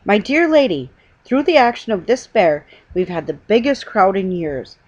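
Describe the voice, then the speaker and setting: A woman's voice: medium pitch, very animated, moderate pace, darkish tone, muffled recording. A woman voicing a pompous, important male store manager from a storybook, declaiming grandly and emphatically. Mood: grateful, grand.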